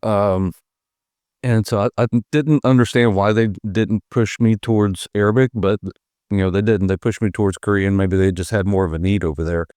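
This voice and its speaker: A man in his fifties from the United States, a podcast guest, telling his life story in an unhurried way, with fillers and soft chuckles. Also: lowish voice, fairly even intonation, no echo, clean studio sound. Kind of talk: storytelling in an interview.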